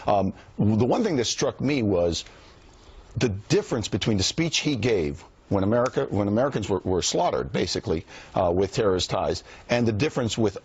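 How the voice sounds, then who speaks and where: A low-pitched man with a husky, breathy edge talks on a news panel, stumbling through restarts before landing firm, pointed claims. The sound is dull and lo-fi, like broadcast audio off a TV. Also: very animated, darkish tone, dry recording. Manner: halting, restarts phrases, then builds emphatic points. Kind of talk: studio panel commentary.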